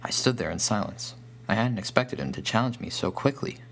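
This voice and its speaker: A man's voice: lowish voice, expressive, a little fast, gravelly, fairly bright tone. A man reading a scripted first-person horror story as its narrator.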